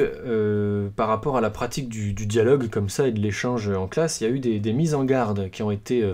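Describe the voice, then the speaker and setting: A man hosting a podcast, talking through a book's ideas in a loose, unscripted way, with frequent pauses and restarts. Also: lowish voice, warm tone. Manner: hesitant, many fillers and restarts; self-deprecating humor. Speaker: podcast host presenting a book. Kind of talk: casual spoken book presentation.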